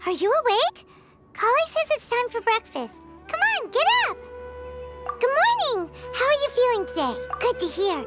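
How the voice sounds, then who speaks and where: Childlike fairy sidekick, squeaky, very high, chirpy, eager, animated intonation, loud music behind. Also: female voice, moderate pace. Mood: cheerful, eager. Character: tiny, chirpy, childlike floating fairy companion. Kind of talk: video game voice acting, cartoonish.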